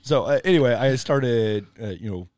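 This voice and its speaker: A man's voice: medium pitch, expressive, moderate pace, darkish tone, slight background noise. A man telling a long personal story in casual chat, rambling with lots of "like" and "you know". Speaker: podcast guest.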